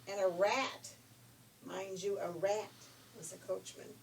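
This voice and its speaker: An older woman telling a fairy tale informally, in a folksy, expressive, chatty way. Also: medium pitch, slow pace, vocal fry. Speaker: storyteller. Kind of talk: informal read-aloud fairy tale storytelling with asides. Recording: distant mic with faint background noise.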